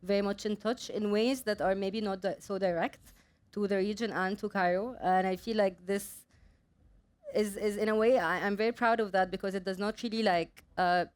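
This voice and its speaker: A woman's voice: medium pitch, steady tone, moderate pace, clear, resonant tone. Hesitant, laughing young woman designer. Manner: laughing often, hesitant fillers. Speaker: designer and studio owner. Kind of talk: live onstage Q&A.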